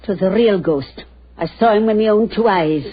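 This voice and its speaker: An actor playing an agitated, superstitious loyal witness in a 1940s radio mystery, speaking emphatically. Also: male voice, high-pitched, brisk, slightly rough. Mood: fearful, insistent. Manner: emphatic, insistent. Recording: muffled old radio recording.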